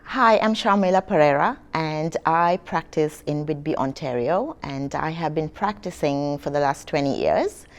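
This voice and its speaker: A woman's voice: deep voice, lively intonation, moderate pace, few pauses. A middle-aged woman from Sri Lanka, an immigration consultant answering interview questions with many hesitations and fillers.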